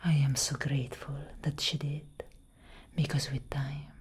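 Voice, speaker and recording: A young woman playing a tender, protective elder vampire sister in a whispered ASMR roleplay, breathy and solemn, close to the microphone. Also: extremely low pitch, level tone, background noise. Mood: tender, affectionate. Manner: slow, intimate, deliberate.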